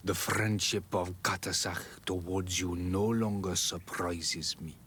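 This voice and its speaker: An actor playing a wise, solemn elder of an island tribe in a fantasy game, speaking in a measured, ceremonial way. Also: male voice, low-pitched, fairly even intonation, a bit bright, slight background noise. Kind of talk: fantasy video game cutscene acting.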